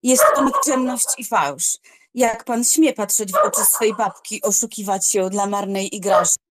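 A proud young noblewoman in an audiobook drama, played by a woman, with a medium-pitched voice. She is stern and cold, with curt, cutting delivery. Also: unhurried, heavy background noise, close to an everyday voice. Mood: scornful.

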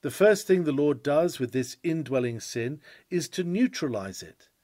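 A man reading a sermon aloud in an oratorical style, with earnest, emphatic, measured phrasing. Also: fairly high pitch, a little mellow, no echo. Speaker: sermon reader.

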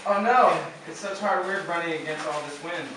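A man's voice: fairly high pitch, moderate pace, a bit bright, close to an everyday voice. A man playing an exasperated, bossy traveller in an amateur radio play. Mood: dismayed. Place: open indoor studio tour space, group around stand mics. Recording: very echoey room, distant microphone, faint noise.

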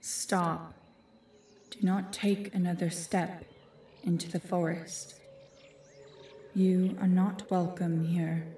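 A woman's voice: fairly low pitch, little pitch movement, slow pace, pauses often, warm tone, a little hiss or hum. A woman playing an ancient, aloof forest guardian spirit who speaks formally and commandingly in a fantasy audio drama. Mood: stern warning. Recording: echoey, distant-sounding voice.

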